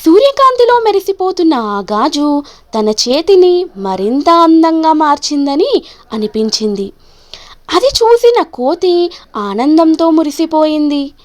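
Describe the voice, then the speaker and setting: High-pitched woman narrating a children's story. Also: very animated, a little slow.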